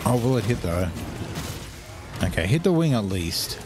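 Low-voiced Australian man streaming a game. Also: sing-song, moderate pace, background noise. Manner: swears freely, reacts loudly to the game. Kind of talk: casual live game commentary.

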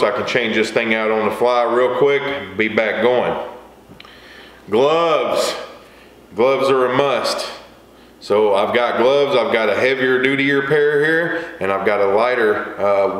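A man's voice: medium pitch, a little slow, a bit bright. A middle-aged man giving a casual, plain-spoken, folksy walkthrough to camera as a video host. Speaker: lawn care video host. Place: small indoor workshop or garage with wooden shelving. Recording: echoey room, faint background hiss.

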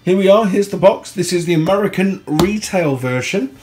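A man from the UK with a sore throat, chatting casually through an unboxing at home, recorded on a handheld phone and clearing his throat now and then. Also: sits high, lively intonation, normal speed, a little mellow. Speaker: product reviewer. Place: indoor home room. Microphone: phone held in hand.

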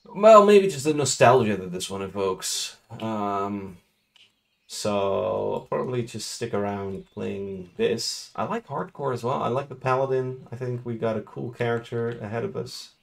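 A man's voice: mid-range voice, unhurried, vocal fry. A Dutch man with a Dutch accent, narrating a tabletop game as host and game master and chatting casually while he plays, laid-back and swearing casually. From Netherlands. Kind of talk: casual gameplay commentary.

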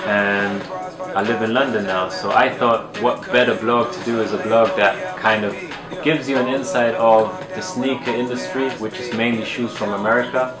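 A man's voice: on the low side, steady tone, normal speed. A man in his thirties from Germany, talking casually and chattily to camera at a desk, with slang. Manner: laid-back, chatty, uses slang. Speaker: sneaker vlogger. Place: plain indoor room at a desk. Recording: roomy echo with music behind.

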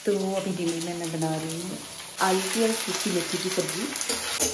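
A woman's voice: fairly low pitch, moderate pace, very noisy. A young woman casually chatting to camera in a daily vlog, giggly as she shows off her lunch. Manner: chatty, giggly, talks to camera while showing food. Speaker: vlogger. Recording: music playing behind.

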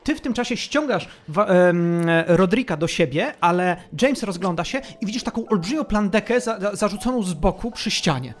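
A woman's voice: mid-range voice, lively intonation, moderate pace, hardly any pauses. A woman narrating a tabletop roleplaying game as game master, telling the story in a descriptive, suspenseful way.

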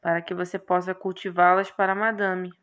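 A woman voicing a calm, literal-minded horned male magician in a game, speaking in a dry, measured way. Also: on the low side, lightly acted. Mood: instructive.

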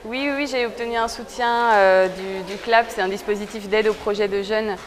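A woman's voice: mid-range voice, fairly even intonation, normal speed, rough recording. A woman of thirty explaining things in a casual interview, with frequent hesitations. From Besançon region, eastern France. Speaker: exhibition creator being interviewed. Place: indoor exhibition hall. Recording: busy crowd noise behind.

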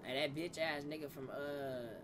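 A man's voice: a little high, steady tone, normal speed. A young man in street roleplay banter, slangy, profane and repetitive, as a gamer playing a gang member. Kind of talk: casual in-character street banter. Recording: noisy game audio, engine sounds behind.

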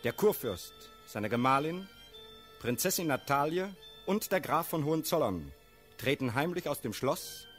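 A man narrating a classical radio drama's stage directions in a sober, measured, scripted way. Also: fairly high pitch, sing-song, a bit bright, soft background music. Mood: scene-setting.

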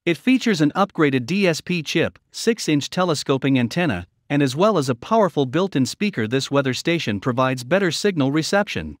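A man delivers a scripted product review voice-over. His voice sits a little high and moves in animated swings of pitch, as he reads promotional copy. The recording is dry and close, with no room sound. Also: a little fast, hardly any pauses, dry recording. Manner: reading from a script.

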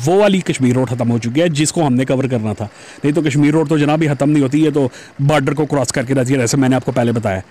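A man's voice: mid-range voice, darkish tone. A man chatting to viewers as he rides a motorbike through busy city streets, recorded on a helmet-mounted camera. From Pakistan. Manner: chatty, addresses viewers as "janab". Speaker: motovlogger. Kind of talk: casual commentary while riding. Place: outdoors on a busy city road, riding a motorbike.

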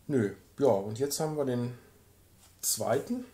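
A man's voice: medium pitch, expressive, a little slow, mellow. A man in his fifties co-hosting a casual whisky tasting at home, joking and cutting in with asides. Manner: joking, interjects and teases. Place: home room with whisky shelves, seated in armchair.

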